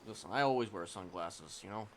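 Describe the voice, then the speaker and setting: A man's voice: on the low side, a little fast, fairly bright tone, slight background noise. A man improvising casual roleplay chat in a hesitant, conspiratorial, rambling way. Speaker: roleplay game player.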